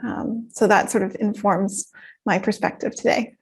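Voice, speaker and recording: A woman's voice: medium pitch, steady tone, moderate pace, breathy edge. A woman, an academic writing specialist, introducing herself casually on a video call with light joking and fillers. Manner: friendly, joking, loose fillers.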